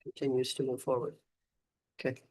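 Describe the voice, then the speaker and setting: A woman chairing a county commission meeting, hoarse and losing her voice, moving things along with brisk procedural phrasing. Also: extremely low pitch, completely flat delivery, darkish tone.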